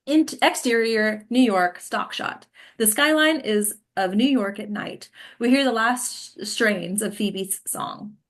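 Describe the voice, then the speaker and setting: A woman's voice: on the high side, slow. A woman reading stage directions as the scripted narrator of a table read.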